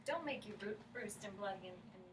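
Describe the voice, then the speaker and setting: An actress in a staged reading playing an exasperated, blunt but concerned young woman, animated and folksy. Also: mid-range voice, a little fast, close to an everyday voice. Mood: baffled, gentle. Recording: echoey room, distant mic, faint noise.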